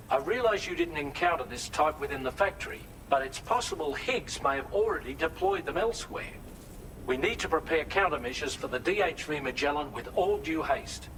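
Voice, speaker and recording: An actor playing an earnest, formal male officer giving a measured, precise briefing in a video game. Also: on the high side, fairly bright tone, far from the mic, slight background noise, poor audio. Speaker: mission commander in a game.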